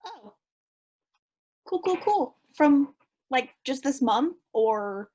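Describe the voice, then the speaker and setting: Woman acting awkward naive slacker, high voice, very lively intonation, casual hesitant delivery, stage play reading. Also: slow pace, full character voice. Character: awkward, flippant young person, placating and naive.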